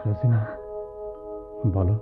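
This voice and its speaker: A man's voice: takes it slowly, natural voice. An actor playing a distraught, pleading young man in theatrical radio drama style, with stammered repetitions. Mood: pleading, soothing. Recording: tinny, phone-like old radio drama recording, music.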